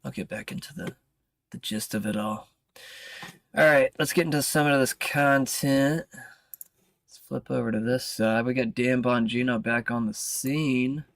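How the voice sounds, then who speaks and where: An American man with a gravelly, creaky voice works through news items like a casual streamer. He reads aloud haltingly and breaks off into informal asides, recorded close and dry. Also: medium pitch, fairly even intonation, fairly bright tone, no room sound. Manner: informal, halting, often interrupts himself with asides. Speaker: streamer and commentator. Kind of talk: casual livestream news commentary, reading articles aloud.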